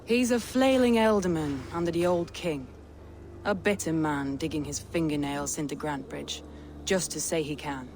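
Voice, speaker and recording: Proud, commanding Viking lord. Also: female voice, low voice, moderate pace, darkish tone, slight background noise. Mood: bitter, determined. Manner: commanding, guarded, earnest. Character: proud, resolute female Viking lord and war leader. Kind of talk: video game dramatic acting. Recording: music behind the voice.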